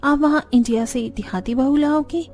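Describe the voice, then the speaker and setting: A woman's voice: high-pitched, moderate pace, darkish tone, close to an everyday voice. A woman voicing a snobbish, westernized young daughter-in-law in a cartoon, mixing in English phrases. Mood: scornful. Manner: mixes English phrases into speech. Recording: background music under the voice.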